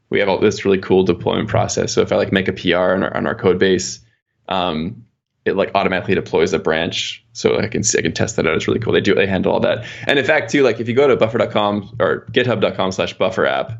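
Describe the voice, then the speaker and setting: Fast-talking man with a creaky, low voice. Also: muffled recording. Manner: rambling, informal, explanatory. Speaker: podcast guest, software engineer. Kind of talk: casual interview chat.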